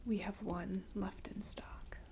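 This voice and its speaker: A young woman playing a mysterious, gentle seaside shopkeeper in a whispered ASMR roleplay, hushed, unhurried and intimate. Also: mid-range voice, level tone, vocal fry. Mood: hushed, inviting. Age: young adult. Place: dim candlelit tabletop set indoors.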